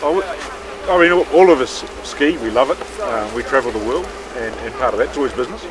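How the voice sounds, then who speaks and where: A man with a New Zealand accent answering interview questions outdoors at a ski field, halting and restarting often. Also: mid-range voice, expressive, crowd noise, poor audio. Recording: loud outdoor noise.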